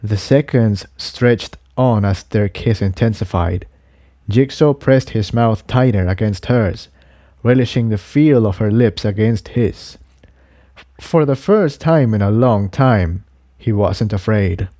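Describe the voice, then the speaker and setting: A man narrates an adventure story as a scripted reading. His voice is mellow and relaxed, and the recording is close and dry. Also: on the low side, takes it slowly, no echo. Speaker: audiobook reader of fan fiction.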